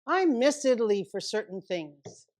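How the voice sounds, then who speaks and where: A woman giving a relaxed, conversational lecture, thinking aloud and taking questions. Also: on the high side, moderate pace. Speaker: guest lecturer. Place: lecture room.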